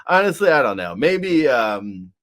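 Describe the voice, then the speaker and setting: A man hosting a sports podcast, chatting casually about baseball in a halting, wryly joking way with repeated words. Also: medium pitch, big pitch swings, fairly bright tone.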